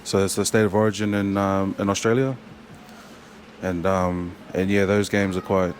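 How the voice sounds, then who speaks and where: Deep-voiced New Zealand man, rambling. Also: little pitch movement, a little hiss or hum. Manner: rambling, halting, many fillers and restarts. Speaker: rugby player guest. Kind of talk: casual interview chat.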